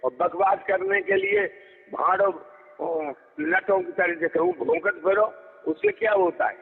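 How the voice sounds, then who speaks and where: A man's voice: sits high, big pitch swings, measured pace, gravelly, breathy edge. A man arguing heatedly on the phone as a preacher, scolding, imperious and cutting in. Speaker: religious preacher. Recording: tinny phone call recording with hollow reverb.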